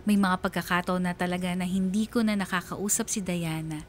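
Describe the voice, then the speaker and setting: An actress playing a wistful young woman who narrates a confession in a measured, confiding radio drama style. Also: fairly low pitch, steady tone, slight background noise, close to an everyday voice. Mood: guilty, longing. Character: wistful young woman confessing secret love for best friend's girlfriend. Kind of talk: first-person radio drama letter narration.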